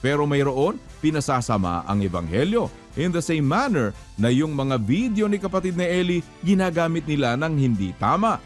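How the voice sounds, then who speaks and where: A man's voice: a little high, very animated, normal speed, soft background music. A man preaching in an admonishing, emphatic way, asking rhetorical questions and quoting scripture. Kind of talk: religious exhortation with rhetorical questions and scripture quotes.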